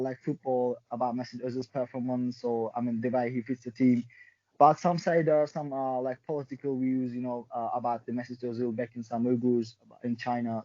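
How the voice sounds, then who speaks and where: A man's voice: medium pitch, dark tone, dull audio. A man hosting a podcast in a friendly way, asking long rambling questions. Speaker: podcast host.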